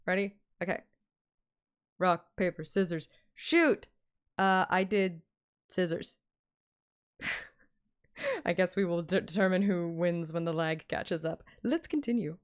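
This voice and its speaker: A woman's voice: fairly low pitch, animated, slow, pauses often. A woman livestreamer chatting casually and playfully, often giggly and interrupting herself as she talks to viewers. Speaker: live streamer and fan reader.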